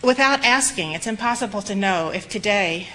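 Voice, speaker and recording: A slightly hoarse woman giving an earnest, reflective spoken lecture to medical students in a lecture room. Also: medium pitch, expressive, normal speed, bright, forceful tone, slight background noise, poor audio. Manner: earnest, reflective, with emphatic repetition.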